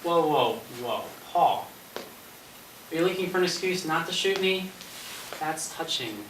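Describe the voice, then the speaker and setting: A man's voice: on the high side, lively intonation, measured pace. A man playing a dry, understated survivor in an amateur comic zombie stage play. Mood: dryly teasing. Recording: distant, echoey stage recording, clear noise.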